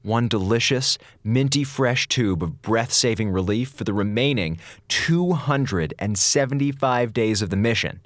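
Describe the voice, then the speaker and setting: A man's voice: medium pitch, expressive, a little slow, few pauses, dry recording, clean studio sound, close to an everyday voice. A man playing a sarcastic communications officer. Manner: sarcastic, joking, rambling. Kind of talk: audio drama log narration.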